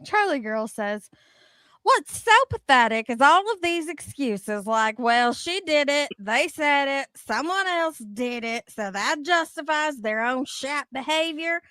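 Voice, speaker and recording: High-voiced woman doing playful readings. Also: animated, measured pace, bright, forceful tone, dry recording. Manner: playful, swearing, giggly. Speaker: co-host reading comments aloud. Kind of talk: dramatic reading with put-on voices and banter.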